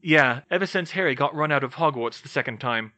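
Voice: mid-range voice, fairly quick, bright, forceful tone, lightly acted. A narrator voicing a wry adult male wizard with a casual, chatty manner, in a fantasy audiobook.

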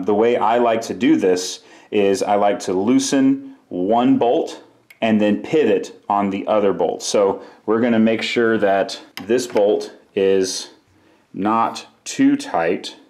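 A man's voice: lowish voice, a little mellow, reverberant. A man explaining a bike repair step by step in a casual, practical way, in his workshop. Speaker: bike mechanic. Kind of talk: hands-on repair tutorial.

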